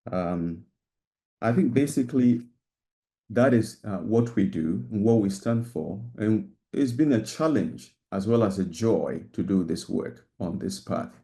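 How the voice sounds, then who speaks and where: Deep-voiced sincere man. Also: animated, a little slow, warm tone. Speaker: community member sharing. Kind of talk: personal sharing at an online gathering.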